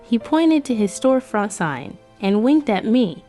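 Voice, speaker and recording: A woman's voice: on the high side, very animated, a little slow, warm tone, soft background music. A woman giving a scripted first-person narration for a romance comic dub.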